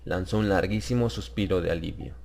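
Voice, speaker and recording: A man's voice: low-pitched, a little fast, vocal fry, darkish tone. A man reading a scripted horror story as a dramatic audiobook narrator.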